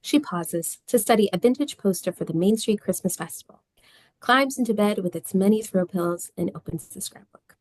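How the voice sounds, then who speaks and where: A woman's voice: mid-range voice, animated, normal speed, a little mellow, no room sound, low-quality recording. A woman reading stage directions as scripted narration at a table read.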